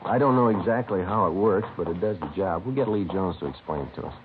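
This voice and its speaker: An actor playing a calm, patient police sergeant in a vintage radio crime drama, matter-of-fact and understated. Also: male voice, low-pitched, quick pace, close to an everyday voice. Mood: casual, probing. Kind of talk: understated 1950s radio acting. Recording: muffled, noisy vintage radio recording.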